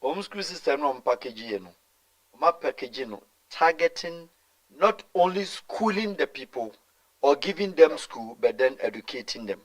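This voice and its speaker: A middle-aged Ghanaian man answers an interviewer's questions in a voice pitched a little higher than most men's. He speaks emphatically, mixing in Twi and now and then stumbling into repeated words. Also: lively intonation, normal speed, low-quality recording. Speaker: guest.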